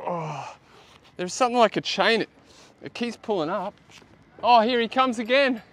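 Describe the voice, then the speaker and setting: High-voiced, excitable Australian man outdoors. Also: normal speed. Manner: excitable, exclaiming. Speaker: host of a magnet fishing vlog. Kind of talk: casual outdoor vlog chat. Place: outdoors beside a canal. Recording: outdoor noise, traffic and ambience.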